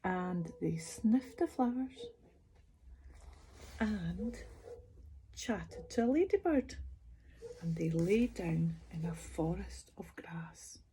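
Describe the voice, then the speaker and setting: A woman reading a children's picture book aloud as a bedtime storyteller, expressive and sing-song, putting questions to young listeners. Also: lowish voice, slow pace, pauses often, a little hiss or hum, low-quality recording.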